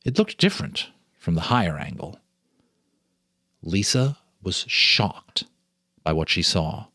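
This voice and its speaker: A man giving a dramatic reading of a ghost story, with suspenseful, deliberate phrasing and dramatic pauses. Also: low-pitched, big pitch swings, sharp tone, no echo, studio quality. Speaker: storyteller, narrator and host.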